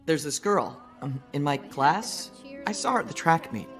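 Awkward, nervous teenage schoolboy. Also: male voice, a little high, very animated, moderate pace, a bit bright. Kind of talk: animated film dialogue. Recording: film soundtrack with music behind.

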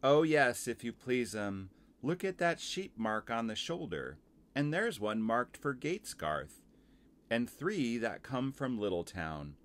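A man's voice: medium pitch, very animated, measured pace, fairly bright tone. A man voicing a small, stout female hedgehog washerwoman in a children's storybook, fussy, chatty and eager to please. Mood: busy, informative. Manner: deferential, rustic servant phrasing, chatty.

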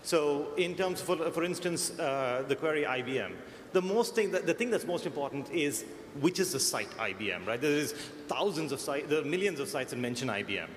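A man's voice: fairly high pitch, expressive, fairly quick. Man with a mixed American-Indian accent. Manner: thoughtful, restarts phrases. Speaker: search engineer panelist. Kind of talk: conference panel discussion. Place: large conference hall. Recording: echoey conference hall, faint noise.

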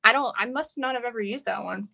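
A woman's voice: on the high side, fast talker, creaky, bright, forceful tone. A woman instructor talking casually and informally through a hands-on craft demonstration. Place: work table indoors, live online stream.

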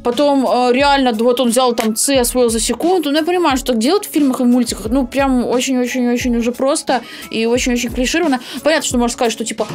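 A young woman with a fairly high voice talks quickly and casually. She co-hosts a reaction video from a home streaming room, close to a desk mic, with music faintly behind her. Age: young adult. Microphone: studio mic on desk arm.

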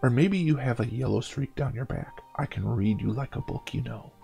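A man voicing a stern, solemn knight who guards a magic portal, speaking in measured pronouncements in a storybook game. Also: mid-range voice, gravelly, mellow, faint music behind. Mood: stern, challenging.

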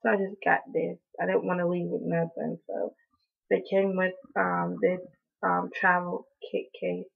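A young woman from North Carolina, hoarse from allergies, chatting casually in a haul video with lots of ums and apologies, recorded on a close webcam in a small room. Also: fairly low pitch, level tone, unhurried. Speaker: beauty vlogger. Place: small indoor room, in front of a door. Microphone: webcam at close range. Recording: tinny, phone-like sound.

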